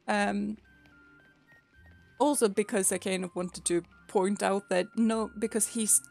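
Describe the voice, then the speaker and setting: Slow-talking Swedish woman streaming a game. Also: fairly high pitch. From Sweden. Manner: chatty, laughs often, restarts words. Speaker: streamer and teacher. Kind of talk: casual gaming stream chat.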